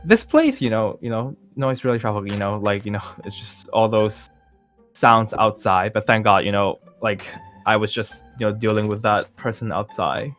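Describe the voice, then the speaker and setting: A man playing a self-assured young male pop singer in an improvised Minecraft roleplay, talking in a halting, rambling, nonchalant way. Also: lowish voice, fairly bright tone, lightly acted. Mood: casual, nonchalant. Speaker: roleplay performer. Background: music.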